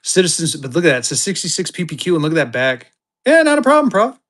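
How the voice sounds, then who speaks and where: High-voiced man hyping auction bids. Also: big pitch swings, quick pace, fairly bright tone. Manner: hypes bids in bursts, talks about himself in third person. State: tired. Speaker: live auction host selling banknotes. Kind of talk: live-stream auction patter.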